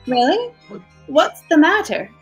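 A middle-aged woman playing a witty, poised detective's wife with an arch, measured manner, in old-time radio mystery style. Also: sits high, very animated, a bit bright, muffled recording, heavily acted. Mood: curious. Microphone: video call. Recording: faint music behind.

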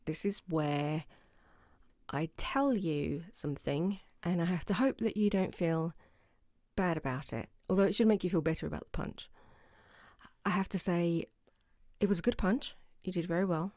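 A woman's voice: fairly low pitch, normal speed, darkish tone, dry recording, studio quality, natural voice. A woman playing a playful, affectionate girlfriend in an intimate ASMR roleplay, with a teasing manner. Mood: teasing, modest. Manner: teasing, giggly, sometimes dropping to a whisper. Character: playful affectionate new girlfriend, proud martial arts instructor. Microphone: close microphone in a dry room.

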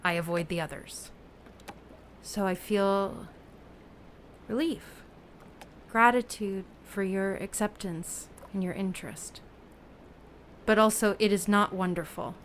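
Woman playing a lonely wary raft survivor in an audio drama, slow careful halting talk, faint ambient noise. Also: medium pitch, natural voice. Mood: wary, sad. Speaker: actor.

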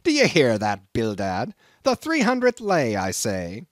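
A man's voice: medium pitch, huge pitch swings, measured pace, no room sound, clean studio sound. A man voicing a hot-tempered old sea captain in a storybook reading, thundering in archaic sailor speech. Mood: insistent. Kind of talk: audiobook character acting.

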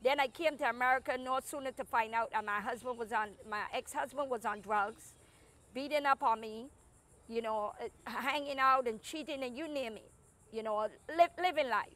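A woman's voice: fairly high pitch, normal speed, a bit bright. A middle-aged woman from Barbados with a Barbadian accent, telling her life story earnestly as an interview guest in an outdoor garden on a lapel mic. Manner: earnest, emphatic storytelling with restarts.

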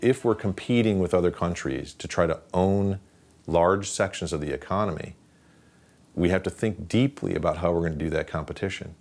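A man's voice: deep voice, moderate pace, darkish tone. A man in his forties, a scientist, speaking earnestly and emphatically in an interview. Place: interview set, dark backdrop.

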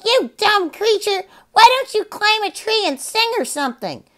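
A squawking silly parrot. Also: female voice, extremely high pitch, very animated, normal speed, a bit bright, voice pushed up, heavily acted. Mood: shouting. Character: plump silly red parrot that mimics everything.

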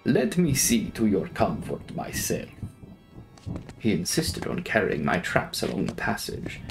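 A young man narrates a classic novel with theatrical deliberation. His lowish voice rises and falls dramatically and has a creaky grain, over faint music. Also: poor audio. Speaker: audiobook narrator.

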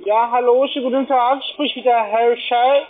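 A man with a foreign accent, not a native German speaker, making a halting sales pitch by phone. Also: fairly even intonation. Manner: halting, broken phrasing. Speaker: cold-calling telemarketer. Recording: tinny phone line, busy call center chatter.

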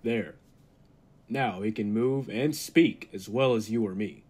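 A man's voice: lowish voice, darkish tone, a little hiss or hum, natural voice. Man playing a formal, earnest lab assistant. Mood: calm, reassuring. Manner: formal, measured. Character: earnest, calm male laboratory assistant scientist. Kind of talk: 1940s radio drama acting.

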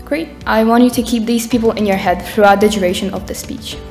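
A woman's voice: mid-range voice, steady tone, fairly quick, darkish tone, faint music behind. A teenage girl from Ukraine, reading an earnest prepared speech from notes at a lectern on a conference stage. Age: teens. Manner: earnest, reading from notes, rises in intensity near the end. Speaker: student speaker. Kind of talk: prepared speech read aloud. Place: conference stage in a dark hall.

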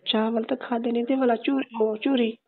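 Female caller, Saraiki accent, fairly high gravelly voice, casual intimate talk on a tinny phone line. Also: fairly even intonation, normal speed. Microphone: telephone line. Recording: tinny phone call recording.

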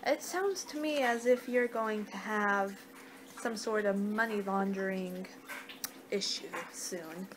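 A young woman doing an ASMR card-reading role-play with a halting, unhurried delivery. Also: mid-range voice. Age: young adult. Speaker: ASMR performer in a fortune-teller role-play. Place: small home room, indoors. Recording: noisy amateur webcam-style room recording.

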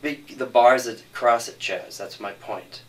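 A man's voice: medium pitch, a little slow. A man in an argumentative group discussion as a screenwriter, insistent and repeating himself, recorded from a distance. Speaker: screenwriter defending his script. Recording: distant mic with some noise.

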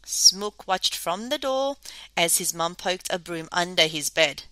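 A woman reading a children's story aloud as an expressive storyteller. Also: lowish voice, unhurried, no echo, low-quality recording.

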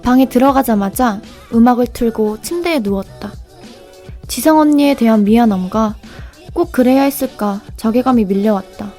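Young actress as a sulky idol dancer, high voice, light music bed, audio drama narration. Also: female voice, normal speed, close to an everyday voice. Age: young adult. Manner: sulky and sharp.

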